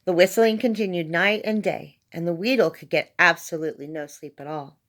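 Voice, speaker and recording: A woman's voice: on the low side, fairly quick, fairly bright tone. A woman reading a children's story aloud as narrator, expressively and with dramatic emphasis on key words. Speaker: storybook reader.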